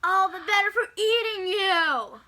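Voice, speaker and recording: Woman playing a high-voiced sly storybook wolf. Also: a little slow, pushed and bright, exaggerated voice. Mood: falsely sweet.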